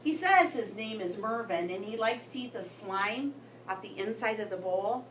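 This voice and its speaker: A woman reading a story aloud to children in an expressive way, with dramatic pauses and emphasis. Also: medium pitch. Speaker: guest story reader for children. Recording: roomy, distant mic with background noise.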